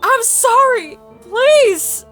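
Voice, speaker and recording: Shrill, sobbing, dying mare. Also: female voice, lively intonation, drawn-out words, a bit bright, soft background music, heavily acted. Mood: desperate sobbing. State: crying. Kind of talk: amateur audio drama acting.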